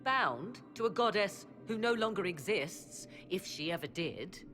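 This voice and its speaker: A woman's voice: medium pitch, very animated, unhurried, a bit bright, soft background music. An actress playing a proud, ambitious witch scholar in a fantasy game, with imperious manner and archaic, formal diction. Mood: skeptical. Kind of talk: dramatic fantasy game acting.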